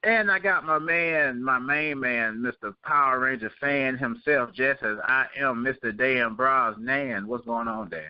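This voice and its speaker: A man's voice: mid-range voice, a little slow, hardly any pauses, clean tone, no echo. Man, panel show host, casual, chatty, dry lo-fi recording. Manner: chatty, addresses guests as my man.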